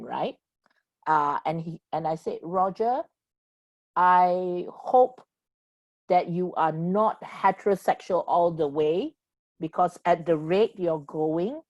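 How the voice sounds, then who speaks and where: A woman in her thirties, a comedian on a video-call panel, telling a story with lively gestures. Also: fairly low pitch, no room sound. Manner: animated storyteller, hand gestures.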